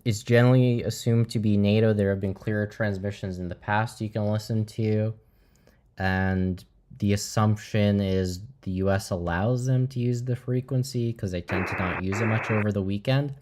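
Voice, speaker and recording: A man hosting a livestream, talking casually and haltingly as he thinks aloud. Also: fairly low pitch, steady tone, darkish tone. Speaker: livestream host.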